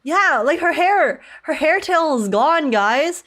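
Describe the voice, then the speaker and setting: A woman's voice: sits high, animated, slow, fairly bright tone. A young woman chatting casually as she livestreams a game, with a giggly, slangy manner. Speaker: live streamer.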